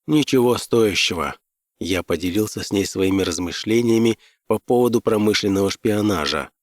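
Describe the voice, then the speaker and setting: A man's voice: on the low side, a little fast, a little mellow, no room sound, clean studio sound. A man reading scripted first-person fiction as an audiobook narrator.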